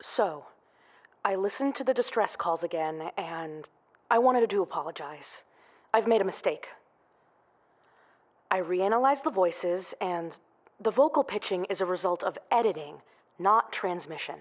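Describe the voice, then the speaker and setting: A woman playing an apologetic audio analyst in an audio drama, speaking in a halting, contrite manner. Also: fairly low pitch, lively intonation. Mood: apologetic, uneasy. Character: apologetic female audio analyst retracting her findings. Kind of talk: audio-drama acting, phone call.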